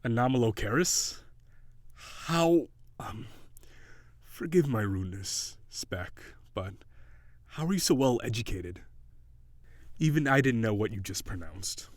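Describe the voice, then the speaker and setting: A man's voice: medium pitch, lively intonation, a little slow, pauses often, dry recording. A man voicing a haughty, weary prince turned gigantic sea monster, with formal, old-fashioned, prissy diction in an audio roleplay. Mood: guarded, softening.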